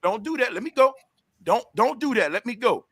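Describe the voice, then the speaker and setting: Guy arguing hard, high bright voice, dry close sound, won't let anyone cut in. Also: expressive, fairly quick, husky, dry recording. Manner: insistent, talks over others, swears. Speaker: debater. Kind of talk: heated debate.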